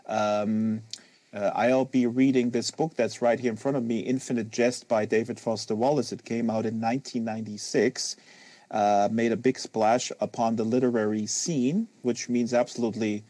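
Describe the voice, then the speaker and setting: A man's voice: mid-range voice, little pitch movement, normal speed, mellow, dry recording. A man hosting a casual, unscripted live conversation, talking in a rambling, emphatic way given to hyperbole. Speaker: host of a live social VR book reading.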